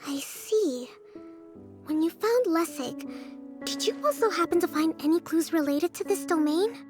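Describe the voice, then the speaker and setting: Young priestess for a game: very high soft voice, composed, formal, polite, light music bed. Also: female voice, expressive, unhurried. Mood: polite, gentle. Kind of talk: video game character acting. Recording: music under the voice. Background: background music.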